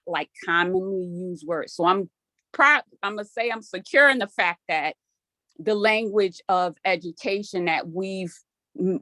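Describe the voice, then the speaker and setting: A woman's voice: fairly low pitch, a bit bright, no echo. A native Spanish-speaking woman giving informal, emphatic remarks as a board member at a meeting, thinking aloud as she goes.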